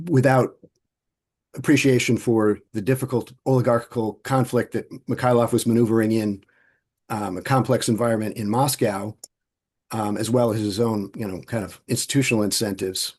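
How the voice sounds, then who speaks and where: Halting, thoughtful male interviewer. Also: lowish voice, fairly even intonation, darkish tone, slightly rough. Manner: thoughtful, halting, many restarts. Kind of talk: oral history interview.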